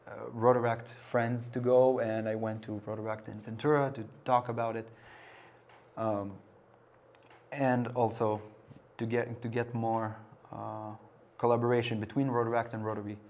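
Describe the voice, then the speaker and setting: A young man answering interview questions in a small TV studio, hesitant with restarts and pauses. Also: medium pitch, flat delivery, darkish tone. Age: young adult. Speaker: interview guest.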